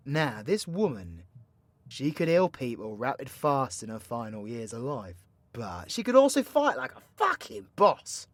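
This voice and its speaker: A man's voice: a little high, no echo. A man playing a cocky, foul-mouthed, playfully teasing supervillain mentor in an audio roleplay, with a British accent and a slangy, swaggering, theatrical delivery. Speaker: voice actor. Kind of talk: villain ASMR audio roleplay, addressing the listener.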